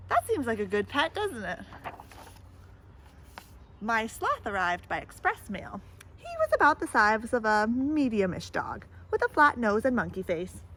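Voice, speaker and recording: Female storybook reader, higher-pitched and very expressive, chatting to kids between lines; some background noise. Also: measured pace. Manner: animated, addresses young listeners with questions and comments. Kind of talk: read-aloud children's picture book with asides to listeners.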